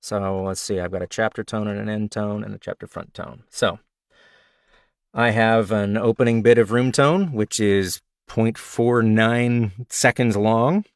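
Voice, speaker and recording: Male narrator, slightly raspy and low-pitched, relaxed chatty delivery, dry close recording. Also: a little slow, dry recording. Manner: relaxed, chatty, self-described nerd with frequent fillers. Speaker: audiobook narrator sharing tips. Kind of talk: casual Q&A livestream talk.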